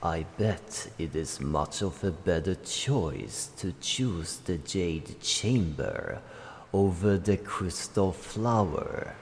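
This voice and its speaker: A wise old dragon acted out by a man speaks from within a long, echoing reverb with faint noise. The voice is low and gravelly, with grave, formal phrasing and deliberate pauses, like a protective guardian giving counsel in a fantasy game. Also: animated, a little mellow, heavily acted. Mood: advising, cautioning. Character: ancient male dragon, solemn, wise, protective and cautioning. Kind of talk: fantasy game roleplay monologue.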